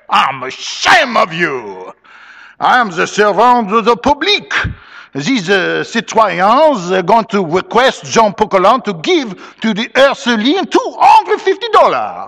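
A man's voice: high voice, creaky, bright, forceful tone, big-room sound, pitched above the performer's own voice, heavily acted. A man voicing a boisterous drunken Creole mob leader with a Louisiana French accent and slurred, swaggering delivery in a story.

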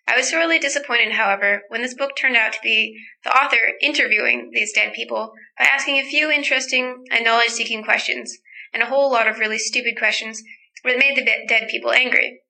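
A woman's voice: a little high, gravelly, bright, forceful tone, rough recording. A teenage girl giving a prepared book review for a podcast. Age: teen.

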